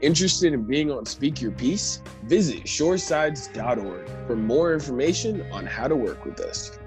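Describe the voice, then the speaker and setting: A man hosting a program, reading the scripted intro and outro in a calm, announcer-like way. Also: medium pitch, moderate pace. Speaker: program host. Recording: voice over guitar music.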